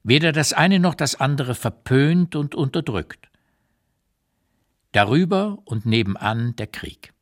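A man playing an old émigré writer who recalls wartime years in a radio reading, with deliberate, emphatic, literary phrasing and an unsparing eye. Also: mid-range voice, animated, natural voice. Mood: wry astonishment. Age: older. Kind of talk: dramatised literary memoir reading, radio play.

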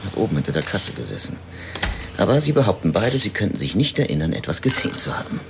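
An actor playing a wry, slightly crude police detective reporting to a superior in a radio crime drama, offhand and hesitant. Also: male voice, deep voice, very animated, quick pace, full character voice. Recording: clear background noise and scene ambience.

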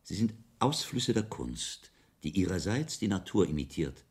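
Low, husky, animated learned friar. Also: male voice, unhurried, exaggerated voice. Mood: learned enthusiasm. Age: 50s. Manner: didactic, assured, expressive. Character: learned friar around fifty, assured visionary teacher. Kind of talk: radio play acting.

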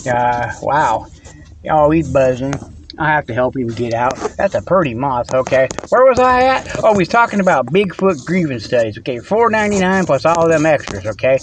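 A man's voice: on the high side, expressive, moderate pace, slight background noise. A man hosting a rambling comic monologue from inside his truck, folksy and full of "okay" and "you know.". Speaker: host.

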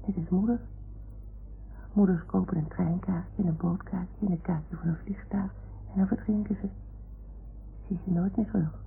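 Radio play role: naive little toy dog, inquisitive and childlike, creaky voice, tinny noisy old recording. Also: female voice, on the low side, fairly even intonation, normal speed, pauses often. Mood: naive, confiding.

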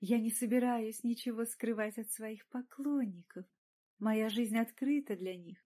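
A female narrator puts on a vain opera diva for an audiobook. She is coquettish and theatrical, with a high voice. Her delivery is affected and chirping, as if always before her admirers. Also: a little slow, mellow. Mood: gushing, coquettish. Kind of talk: audiobook character voice.

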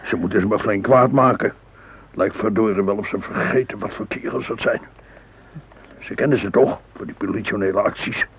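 A man playing a grumbling, pedantic old grandfather in a radio play, patiently explaining and gruffly correcting. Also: on the low side, moderate pace. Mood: tense, grumbling. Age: older. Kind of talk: radio drama dialogue. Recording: muffled old radio recording, faint noise.

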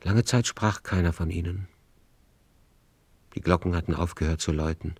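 A man narrating a literary radio play as a measured storyteller. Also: low-pitched, breathy edge, no echo.

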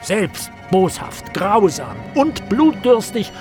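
A hateful pamphleteer in a radio drama. His pitch is high and swings widely as he declaims with pedantic, obsequious venom. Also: big pitch swings, slow pace, a little mellow, faint music behind, full character voice. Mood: venomous, declaiming.

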